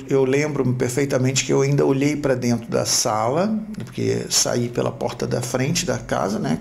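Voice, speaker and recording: A middle-aged man from Rio with a Brazilian Portuguese accent, a podcast host telling his life story in a reflective, halting way. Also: mid-range voice, vocal fry. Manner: reflective, halting with repeated restarts. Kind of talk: personal storytelling.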